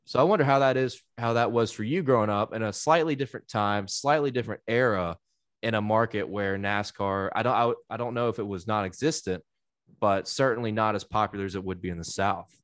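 A man's voice: fairly low pitch, fairly quick, fairly bright tone, dry recording, studio quality. An American man in his twenties from South Mississippi, co-hosting a casual chat. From South Mississippi, United States. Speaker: podcast host.

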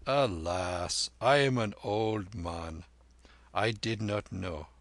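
An aged Tibetan lama, performed by a man, speaks slowly and gently. His phrasing is solemn and archaic, a weary wandering holy man from a storybook. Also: fairly low pitch, dry recording. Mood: humble, puzzled.

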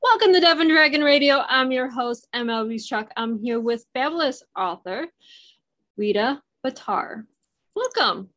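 A woman's voice: sits high, animated, a little slow, a bit bright. A woman hosting an interview show, chatty and self-deprecating, laughing easily. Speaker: podcast host.

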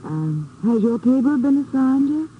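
A woman's voice: sits high, big pitch swings, moderate pace. An actress playing a poised, flirtatious woman passenger with a coy manner in a 1950s radio drama. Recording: muffled, hissy old radio recording.

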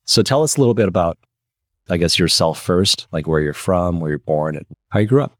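A man from Michigan hosting a podcast, asking quick, eager questions in a casual interview. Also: low voice, animated, no echo, clean studio sound. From United States (Michigan). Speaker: podcast host.

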